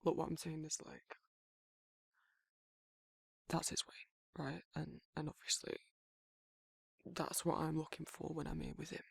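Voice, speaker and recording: A man playing a guarded but soft-hearted werewolf in an intimate ASMR roleplay, talking in a halting, informal way with a husky, gravelly voice. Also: on the high side, little pitch movement, no echo, clean studio sound, exaggerated voice. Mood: earnest, reassuring. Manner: hesitant, halting, rambling, informal. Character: guarded, soft-hearted male werewolf shyly confessing affection.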